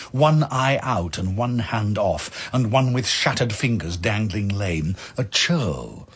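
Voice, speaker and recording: A man narrating archaic verse as a dramatic story, measured and declamatory. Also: on the low side, slightly rough.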